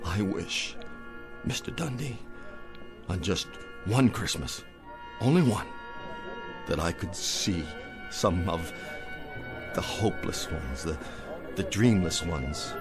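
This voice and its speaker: An actor playing an aging, tender-hearted, down-and-out drinker in an emotional radio drama style. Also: male voice, low-pitched, lively intonation, takes it slowly, long pauses, heavily acted. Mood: mournful, impassioned. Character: aging, weary, drunken, tender-hearted down-and-out man. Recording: radio drama with music underneath.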